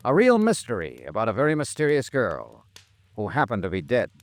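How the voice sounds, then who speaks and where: An actor playing a terse, sardonic hard-boiled insurance investigator in an old-time radio noir drama, with an American accent and a clipped, wry delivery. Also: male voice, medium pitch, huge pitch swings, a little fast, over loud music. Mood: wry narrating. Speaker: detective lead and narrator.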